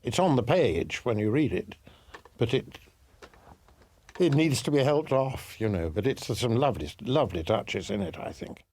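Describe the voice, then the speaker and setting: Male interviewee: mellow, animated pitch, reflective, circles back over phrases. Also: a little hiss or hum. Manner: halting, repeating phrases.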